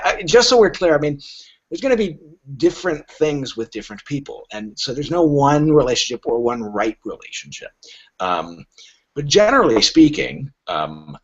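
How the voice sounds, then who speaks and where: Male podcast guest, literary agent type: lively swooping intonation, emphatic explanations, halting starts, muffled low-fidelity recording. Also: breathy edge. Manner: emphatic, halting starts with repeated words, rhetorical questions.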